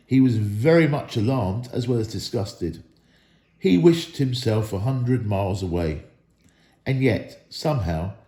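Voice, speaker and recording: A man's voice: medium pitch, dark tone. A man reading a story aloud as a dramatic narrator. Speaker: school staff member reading aloud. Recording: echoey room.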